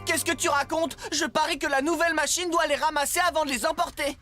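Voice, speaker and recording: A woman's voice: high-pitched, steady tone, quick pace, lightly acted. A woman voicing a brash, know-it-all little farm vehicle in a children's cartoon dub, scoffing and exclamatory. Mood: boastful. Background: music.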